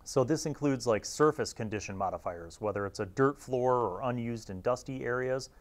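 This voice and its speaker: A man's voice: medium pitch, moderate pace. A man in his forties with a mid-range voice talks as the host of a hobby review. His delivery is casual and conversational, with emphatic asides. He is recorded on a lapel mic in a small studio. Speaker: host of a tabletop gaming review series. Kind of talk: casual review and commentary on a magazine.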